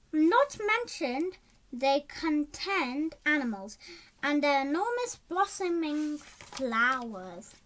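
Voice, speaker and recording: A child reading a storybook aloud in a halting way, stumbling over words and repeating them. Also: sits high, a bit bright.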